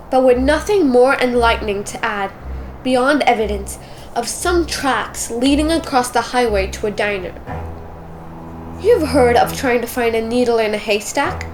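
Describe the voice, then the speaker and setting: A woman giving dramatic, measured scripted narration for a school radio play. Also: fairly high pitch, slight background noise, rough recording.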